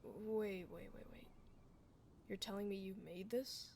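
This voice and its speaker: A woman's voice: mid-range voice, steady tone, a little slow, gravelly, darkish tone, slight background noise. A woman playing a blunt, kind tomboy dullahan adventurer in a casual, reassuring fantasy ASMR roleplay. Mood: curious, baffled. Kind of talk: ASMR fantasy roleplay, close conversational acting.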